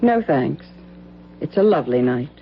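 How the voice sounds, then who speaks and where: A woman's voice: sits low, big pitch swings, moderate pace, noisy background. A woman acting a cold, determined widow bent on revenge in an old-time radio drama. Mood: cool, defiant. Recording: dull old radio recording with music.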